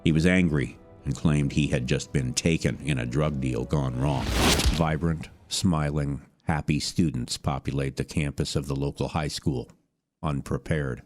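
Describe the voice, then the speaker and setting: A man with an extremely deep voice narrates a true-crime podcast. His delivery is measured and dramatic, like a storyteller building suspense, with music clearly playing underneath. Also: fairly even intonation, a little mellow. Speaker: narrator, voice actor. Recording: music bed behind narration.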